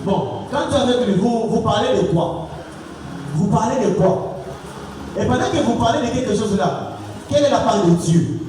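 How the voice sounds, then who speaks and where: A man's voice: high voice, moderate pace, long pauses, background noise. A young man preaching to a youth gathering, repeating his points and calling for response. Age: young adult. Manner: repetitive exhorting, call-and-response. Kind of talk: sermon. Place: church. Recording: echoey hall from far off, music behind.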